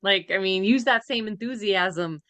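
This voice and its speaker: Bright-voiced woman chatting on podcast. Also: mid-range voice, moderate pace, no room sound. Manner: animated, blunt, opinionated. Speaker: podcast co-host. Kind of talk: casual reaction chat.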